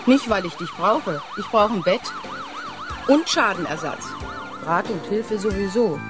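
A woman playing a sharp-tongued, sarcastic gallery owner in a sci-fi radio drama, biting and emphatic. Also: medium pitch, huge pitch swings, measured pace. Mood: scornful.

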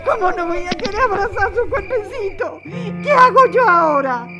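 A woman's voice: very high-pitched, expressive, normal speed. A woman acting in an audio drama as a grief-stricken mother fleeing war, moaning and pleading. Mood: anguished. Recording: loud music and crowd behind.

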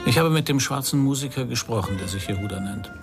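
An actor playing a sceptical, thoughtful investigator in a radio crime drama, measured and questioning. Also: male voice, medium pitch, expressive, music in the background, lightly acted. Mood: pensive.

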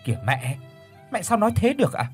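A man's voice: medium pitch, very fast, husky, faint music behind, natural voice. A man voicing an irritated young daughter who snaps at her mother. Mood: curt. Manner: sharp, scolding.

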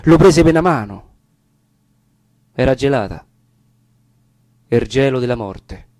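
A man's voice: medium pitch, animated, measured pace, a little mellow, no echo. A man with a Roman accent giving a dramatic reading of dialect sonnets. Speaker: poetry reader.

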